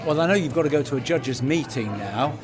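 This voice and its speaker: A man's voice: a little high, a little fast, music in the background. A man hosting a podcast and interviewing a guest on location at an awards event. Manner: upbeat, chatty presenter. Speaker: podcast host.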